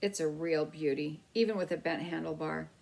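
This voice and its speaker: A woman's voice: sits low, fairly even intonation, normal speed, vocal fry, darkish tone. A woman voicing a small, proud and indignant boy mouse in a storybook, speaking in blunt exclamations. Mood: relieved.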